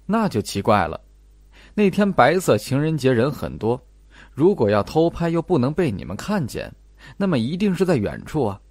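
A man acts out a calm detective in an audiobook mystery, laying out deductions step by step. The questioning is measured and pointed, with lively pitch movement in a dry, close recording. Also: dry recording. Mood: analytical. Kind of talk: audiobook character acting.

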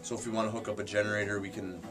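A man's voice: lowish voice, little pitch movement, very fast. A young American man casually explaining things in a matter-of-fact, tongue-in-cheek way, like a guide on a tour. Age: young adult. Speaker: fisherman guest showing his ice shack. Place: small wooden ice fishing shack. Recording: music playing behind the voice. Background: guitar music.

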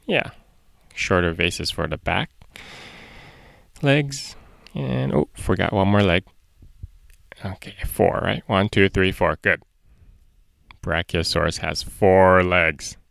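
Slow talking guy teaching kids to draw, playful n casual, bit of creak in a dry close recording. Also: sits low, fairly bright tone, no echo. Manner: playful, talking to himself while drawing. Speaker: drawing tutorial host for children. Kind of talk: casual step-by-step lesson for kids.

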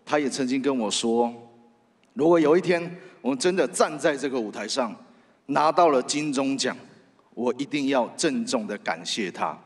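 Male, Taiwanese Mandarin accent, award acceptance speech, earnest and halting, mid-range pitch, long hall reverb. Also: expressive. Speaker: radio host, award winner. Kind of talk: award acceptance speech, partly read from phone. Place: large theatre stage at awards ceremony. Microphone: stand microphone, close. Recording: big hall reverb over PA.

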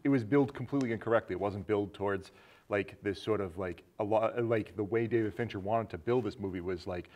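A man in his thirties talks in a fairly low voice. He is a film show co-host, explaining movies in a loose, conversational style. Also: fast talker, a little mellow. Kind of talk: casual movie discussion, retelling a plot. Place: small indoor studio set with curtains.

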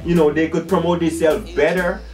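A man's voice: a little high, fairly quick, recorded from a distance, soft background music. Upbeat Guyanese man talking to camera. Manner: animated, gestures constantly with both hands. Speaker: music promoter and channel host. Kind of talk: casual talk to camera. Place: dim music studio room, indoors.

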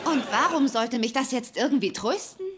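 A woman's voice: sits high, expressive, moderate pace. An actress playing a down-to-earth young woman in a dubbed sitcom, speaking casually with wry patience. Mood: weary. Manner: casual, plain-spoken. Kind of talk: German-dubbed sitcom acting. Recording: noisy sitcom soundtrack.

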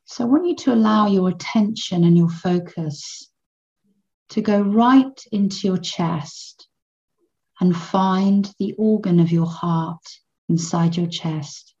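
A woman guiding a children's meditation in a gentle, soothing manner, in measured phrases with long pauses between them. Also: fairly low pitch, muffled recording. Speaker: meditation guide.

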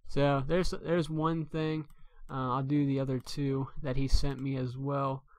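A man's voice: medium pitch, level tone, a little slow, a little hiss or hum. A man giving casual, unscripted commentary as he previews something in a video game for viewers. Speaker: streamer, host.

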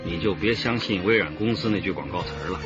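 An actor with a Mainland Chinese Mandarin accent playing a bossy, wry police squad chief in a radio drama. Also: male voice, deep voice, fast, over music, close to an everyday voice. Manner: brusque, commanding, repeats orders. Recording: muffled, noisy broadcast radio drama.

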